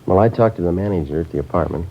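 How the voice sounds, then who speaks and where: A man playing a police sergeant who narrates a 1950s radio drama in a flat, clipped, matter-of-fact way. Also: low-pitched, rapid-fire, a little hiss or hum. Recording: dull old radio recording with music.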